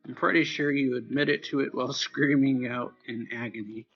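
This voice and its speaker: Casual man reading a story, slightly gravelly. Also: mid-range voice, steady tone, moderate pace, lo-fi sound. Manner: informal, stumbles and corrects misreadings. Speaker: let's play host reading a visual novel aloud. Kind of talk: casual read-aloud narration of a visual novel.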